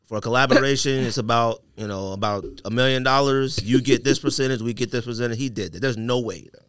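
A man's voice: medium pitch, quick pace, fairly bright tone, clean tone, no echo. An American man hosting a casual sneaker podcast, talking in slangy, opinionated banter and repeating himself for emphasis. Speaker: podcast host.

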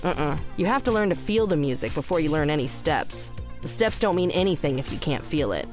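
A woman's voice: deep voice, lively intonation, fairly quick, a little mellow, faint music behind. A woman voicing a tipsy, confident young disco dancer in audio fiction, casual and assertive. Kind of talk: story dialogue in audio fiction.

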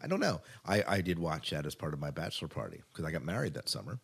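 A man's voice: rumbling low, big pitch swings, fast talker, creaky, breathy edge. A man co-hosting a podcast, giving entertainment news in a casual, conversational way with informal asides. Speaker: podcast co-host.

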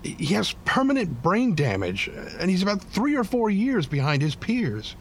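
A man playing a weary, bitter but devoted working-class widowed father in an audio drama, plain-spoken and halting. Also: sits high, huge pitch swings, a little hiss or hum, full character voice. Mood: grieving, bitter. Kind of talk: naturalistic audio drama acting.

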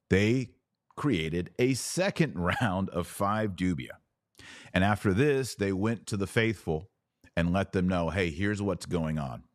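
A man's voice: low-pitched, dry recording, studio quality. A man hosting a podcast, giving conversational religious commentary in an emphatic, colloquial, explanatory manner. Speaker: podcast host.

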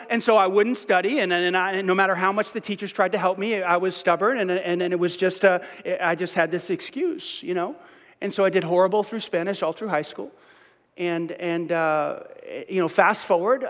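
A middle-aged man preaching a sermon as pastor, lively and self-mocking, often restarting his sentences. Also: high-pitched, big-room sound.

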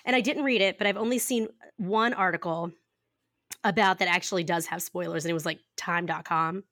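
A woman in her thirties co-hosting a podcast, raspy and a little hoarse from a cough, chatting casually and laughing often as she rambles through stories. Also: fairly low pitch, fairly even intonation, fairly quick, fairly bright tone, no echo, clean studio sound. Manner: giggly, rambling storyteller who laughs often. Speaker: podcast co-host. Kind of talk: casual chat.

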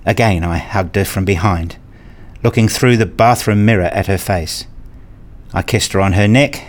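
A man's voice: low voice, normal speed, close to an everyday voice. A man playing a frustrated, pleading father who tells his own life in a radio play, wordy and earnest. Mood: wistful narration. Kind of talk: first-person radio play narration and dialogue.

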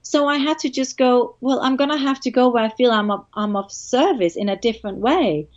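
A woman's voice: on the high side, fairly quick, dull audio. Danish-accented woman, enthusiastic interview guest. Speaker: podcast guest. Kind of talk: casual interview chat.

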